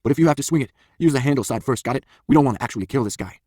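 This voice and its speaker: A man's voice: high voice, lively intonation, racing, no echo, clean studio sound, voice pushed up. A man voicing an aggressive, rowdy young thug who is eager for a fight, in an audiobook story. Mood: instructing.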